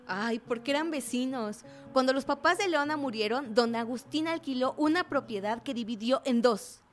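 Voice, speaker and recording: A woman's voice: sits high, normal speed, lightly acted. A woman acting in a radio drama as a chatty storyteller who explains family history in a conversational way. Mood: explanatory.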